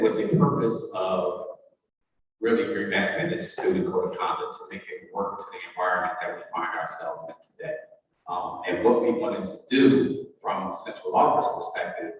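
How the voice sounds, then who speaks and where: A man's voice: low-pitched, slight background noise. A man giving a formal, explanatory presentation as a school district administrator at a meeting. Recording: very echoey, reverberant hall, distant mic.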